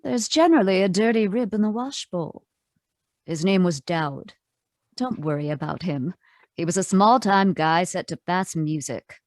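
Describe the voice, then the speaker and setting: A woman playing a cool, sardonic femme fatale in a 1940s-style radio noir, trading unhurried, taunting wisecracks. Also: on the low side, animated, dry recording, studio quality.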